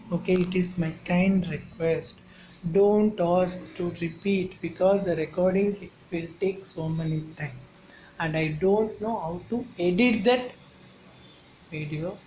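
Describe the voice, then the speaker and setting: A woman with a Tamil accent teaching chemistry, talking slowly and checking in with her listeners. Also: fairly low pitch, a little mellow, low-quality recording. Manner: slow, repeats questions like "Okay, va?" to students. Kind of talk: classroom lecture. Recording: faint background noise.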